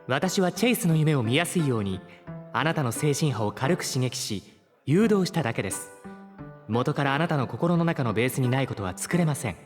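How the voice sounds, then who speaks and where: A man playing a polite, calm mechanical guide in a Japanese superhero audio drama, speaking formally and precisely. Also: mid-range voice, a little fast, faint music behind, natural voice. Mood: politely insistent.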